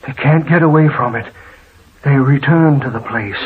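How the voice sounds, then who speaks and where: An actor playing a fearful, stubborn small-town American local telling ominous tales in folksy 1940s radio drama style. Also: male voice, medium pitch, moderate pace, husky. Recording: tinny, muffled old radio recording.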